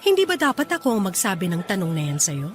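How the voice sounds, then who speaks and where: A woman's voice: mid-range voice, wildly swooping pitch, fast talker, soft background music. A woman playing a cool, commanding jealous lover in a radio drama, speaking with pointed, sardonic control. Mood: cold, confronting. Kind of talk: Tagalog radio drama acting.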